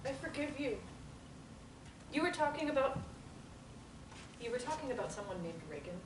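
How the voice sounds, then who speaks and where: A woman voices a collected, self-assured woman who answers rudeness with cool, cutting patience. Her voice is a touch high and lively in pitch. It sounds distant and roomy, with clear background noise. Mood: measured. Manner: calm, measured, politely cutting. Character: composed, prim, self-assured woman in group therapy. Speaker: table-read actor. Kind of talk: script table reading.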